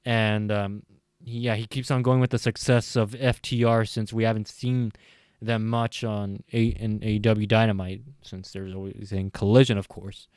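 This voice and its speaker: A man's voice: lowish voice, little pitch movement, normal speed, a little mellow, clean tone, no echo, studio quality. A man giving a casual, rambling and hesitant recap with his opinions as a reviewer, his voice a bit tired from late-night talking. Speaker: wrestling show reviewer.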